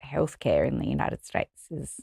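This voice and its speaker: Gravelly low-voiced woman host. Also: sing-song, a little slow, dark tone, dry recording, clean studio sound. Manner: brief encouraging backchannels. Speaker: podcast host. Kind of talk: interview questions.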